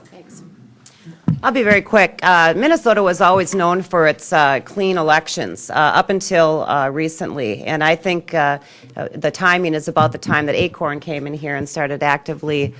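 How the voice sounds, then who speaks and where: A woman's voice: deep voice, normal speed, fairly bright tone. A woman speaking as a politician at a press conference, hesitant and unscripted in her remarks.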